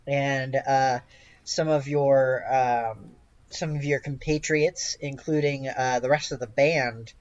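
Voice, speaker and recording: A man narrating an improvised tabletop game as game master, with a hesitant, halting delivery. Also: mid-range voice, steady tone, lo-fi sound.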